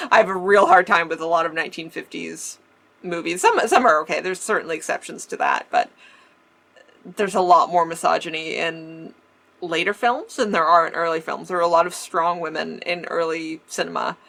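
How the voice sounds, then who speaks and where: Chatty Canadian woman, slightly low. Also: moderate pace, gravelly, a bit bright. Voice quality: faint lisp remnant. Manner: chatty, laughs often, restarts words. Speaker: vintage-style vlogger. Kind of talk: casual Q&A chat.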